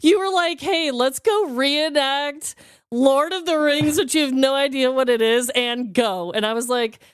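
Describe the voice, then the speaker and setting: A woman co-hosting a podcast in casual, comedic chat, with a chatty, giggly, animated manner. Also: high voice, measured pace, no echo. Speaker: podcast co-host.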